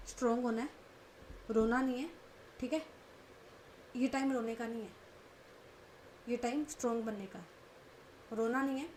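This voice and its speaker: A woman doing a soft, soothing protective young woman. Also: fairly high pitch, slight background noise, natural voice. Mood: firm. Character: calm, protective young woman comforting her love.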